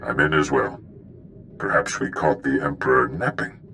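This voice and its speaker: An actor playing a commanding, serene Jedi master in a video game, speaking in a formal, measured, oratorical way. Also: male voice, extremely low pitch, expressive, bright, forceful tone, a little hiss or hum, exaggerated voice. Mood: rousing. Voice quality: gravelly. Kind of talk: cinematic video game acting.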